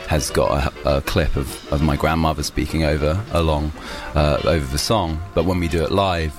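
A man's voice: rumbling low, fairly even intonation, normal speed, music in the background. A young man, a musician, answering interview questions casually, rambling with many restarts and fillers. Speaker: musician guest.

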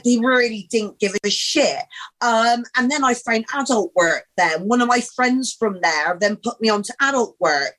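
A woman talks openly about her own life, with a frank, blunt manner. She swears without hesitation and drops in "babe" when she speaks, and her voice sits a little on the high side. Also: fairly bright tone, rough recording. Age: 30s. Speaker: podcast guest. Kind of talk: candid casual conversation.

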